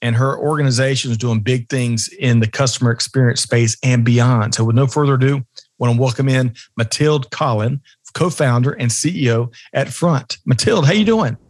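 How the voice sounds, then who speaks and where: A man's voice: medium pitch, moderate pace, no echo, clean studio sound. A man hosting a business podcast, upbeat and friendly, asking questions in a casual interview. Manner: upbeat, friendly, uses fillers. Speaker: podcast host.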